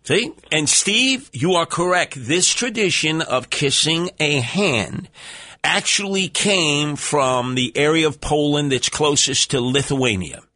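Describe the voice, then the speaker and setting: Male New radio host, brash and theatrical, bright voice. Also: lively intonation, slow pace, no room sound. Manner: brash, mocking, theatrical swings in pitch. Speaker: radio talk show host. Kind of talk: talk radio banter with callers. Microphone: studio microphone.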